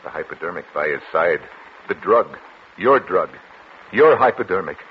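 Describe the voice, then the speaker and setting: An actor playing a controlling, calculating doctor in a formal, measured 1940s radio suspense style. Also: male voice, sits low, lively intonation. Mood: accusing. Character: controlling, coldly calculating male doctor narrating his crime. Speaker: radio actor, lead and narrator. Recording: muffled, noisy vintage 1940s radio recording.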